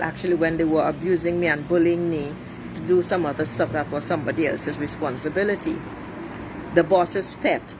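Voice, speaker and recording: A middle-aged woman from Trinidad with a Trinidadian accent, giving a blunt, preachy monologue to her viewers and swearing freely. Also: fairly low pitch. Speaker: life coach livestreaming. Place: outdoors near traffic. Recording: street traffic noise behind. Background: passing cars, a siren.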